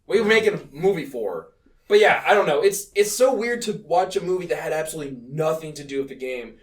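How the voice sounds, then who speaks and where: Animated high-voiced man, off the mic. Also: fast talker, recorded from a distance. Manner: ranting, profane, exasperated joking. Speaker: podcast co-host. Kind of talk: casual movie chat.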